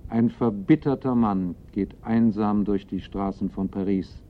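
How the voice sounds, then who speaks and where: Male storyteller, radio play. Lowish pitch, scripted dramatic delivery, slightly dull sound. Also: measured pace. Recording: slightly muffled radio recording.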